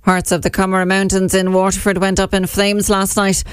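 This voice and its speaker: A woman with an Irish accent reading a radio news bulletin in a steady, measured delivery. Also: mid-range voice, clear, resonant tone, no room sound, clean studio sound. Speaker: radio newsreader.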